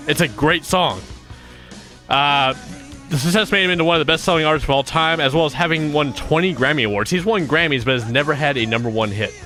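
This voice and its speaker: A man's voice: on the high side, bright, forceful tone. A man in his thirties hosting a casual countdown chat, upbeat, reading out chart facts and laughing often. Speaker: radio show host.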